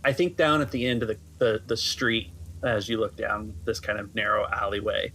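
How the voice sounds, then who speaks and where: Lower-pitched man running a tabletop game. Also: steady tone, moderate pace, pushed and bright, rough recording. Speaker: game master. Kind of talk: casual narration of a tabletop game.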